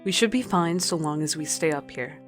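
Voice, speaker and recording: A stubborn, weary captain acted by a woman with a low voice and a firm, dry, curt way of speaking. It is radio drama acting, with faint music underneath. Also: lively intonation, brisk. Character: stern, tired female expedition captain, cautious and stubborn.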